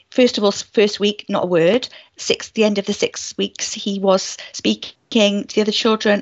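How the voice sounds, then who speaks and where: A woman's voice: medium pitch, dull audio. A woman speaking as an interviewed education researcher, explaining conversationally with anecdotes and repeating words for emphasis.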